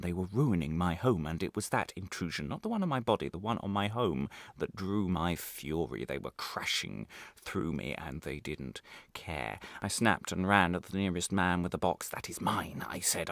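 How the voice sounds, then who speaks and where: A man performing a radio monologue as a defensive, wry prisoner recounting his arrest, halting and correcting himself with sudden emphasis. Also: low voice, vocal fry, no room sound, studio quality. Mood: indignant. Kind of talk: dramatic radio monologue, confessional first-person narration.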